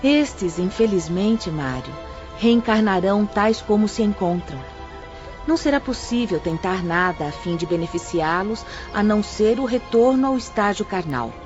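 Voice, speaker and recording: A woman playing a gentle female spirit instructor who explains things calmly and kindly to new apprentices in an audio drama. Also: mid-range voice, normal speed, music in the background, natural voice. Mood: patient. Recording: slightly dull, faint background hiss.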